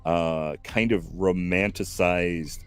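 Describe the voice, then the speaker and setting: A man in his fifties hosting a casual stream chat, slow and reflective, full of fillers and restarts. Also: deep voice, steady tone, clean tone, soft background music. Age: 50s. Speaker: stream host.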